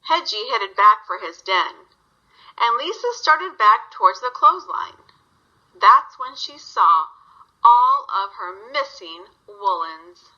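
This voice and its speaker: A woman's voice: on the high side, sing-song, slow pace, pushed and bright, muffled recording. A woman reading a story aloud to young children, guiding them with simple questions.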